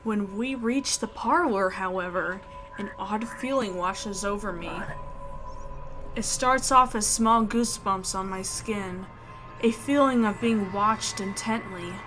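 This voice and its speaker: A woman's voice: mid-range voice, slow. A woman reading a horror visual novel aloud expressively, with casual asides of her own. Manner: expressive, acts out the narrator's thoughts. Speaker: host of a game playthrough, reading aloud. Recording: background music under the voice. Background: music.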